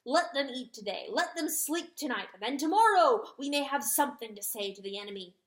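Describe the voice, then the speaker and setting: A woman's voice: high-pitched, expressive, pitched above the performer's own voice. A woman doing a bossy, commanding rooster. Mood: fierce. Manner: brisk, commanding and hissing. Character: stern, bossy male rooster leader. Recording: roomy echo.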